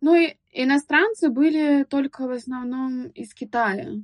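A young woman guest on a podcast, recalling her school years in a thoughtful, hesitant way with many pauses and restarts. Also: high voice, no room sound. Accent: Russian speaker living in England. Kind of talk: casual podcast interview.

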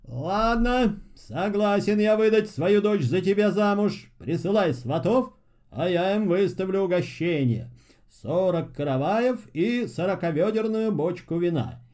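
Guy doing a bossy crafty fairy tale king, pompous, lively pitch swings. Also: measured pace. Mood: pompous, threatening. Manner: pompous, commanding. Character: imperious, crafty old-tale tsar giving threatening orders.

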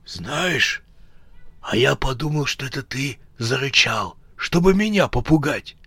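A man's voice: mid-range voice, expressive, unhurried, sharp tone, slightly rough, no echo, full character voice. A man voicing a grumpy, jittery older male bandit in an audio story, with a scolding, querulous way of talking. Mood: uneasy, suspicious.

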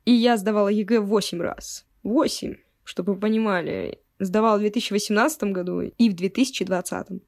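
A woman in her twenties, co-hosting a podcast in a relaxed, slangy way and laughing easily. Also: medium pitch, brisk, creaky, hardly any pauses, no room sound, clean studio sound. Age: 20s. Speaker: podcast co-host. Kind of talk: casual podcast chat.

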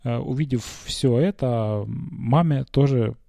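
Dark, mellow-voiced man telling casual stories. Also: mid-range voice, slow, gravelly, no echo. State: recovering from a cold, slight cough. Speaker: podcast host. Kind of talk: casual personal storytelling. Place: small quiet bedroom, lying on a bed.

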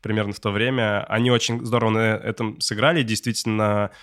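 A man's voice: on the low side, fairly even intonation, a little fast, a bit bright. A man talking casually as a co-host in a podcast discussion.

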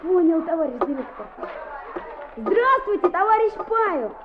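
A muffled vintage radio play with some bustle behind. a brash schoolboy in a very high voice, quick-tongued, cheeky and theatrical. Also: female voice, sing-song, crowd noise. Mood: cheeky, obedient. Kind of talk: Soviet-era radio play acting.

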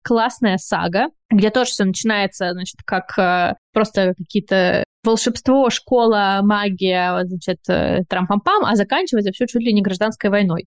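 A woman's voice: mid-range voice, normal speed, no echo. Enthusiastic woman guest, dry sound. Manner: thoughtful, enthusiastic, with fillers and light laughs. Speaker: podcast guest, bookshop owner. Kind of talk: casual interview chat.

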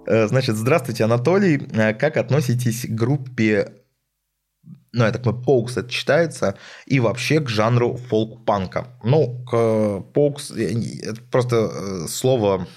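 A man's voice: on the low side, lively intonation, normal speed, a little mellow. A man answering listener questions on a podcast as a music critic, casual and rambling, with frequent hesitation sounds.

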